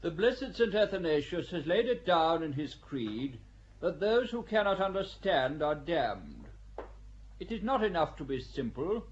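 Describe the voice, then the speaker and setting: A pompous old-school church judge, very lively pitch, commanding, dull slightly noisy drama recording. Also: male voice, measured pace. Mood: sententious, cold. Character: stern, pedantic senior judge of church court. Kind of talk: audio drama acting of a stage play. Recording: dull audio with some noise.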